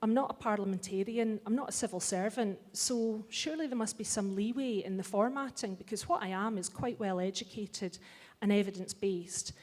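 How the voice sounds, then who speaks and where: A woman's voice: mid-range voice, brisk, darkish tone. A middle-aged Scottish woman giving a blunt, wry and impassioned speech to a live audience. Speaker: festival speaker. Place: large hall. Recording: long hall reverb.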